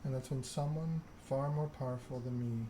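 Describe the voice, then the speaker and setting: A man plays a proud, scheming game vampire, delivering a self-important monologue in ASMR style. The pitch is middling and very level, and clear noise sits behind the voice. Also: steady tone, normal speed, warm tone, lightly acted. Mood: bitter, resolute. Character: proud, scheming vampire aristocrat telling their story. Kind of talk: ASMR read-aloud of game dialogue.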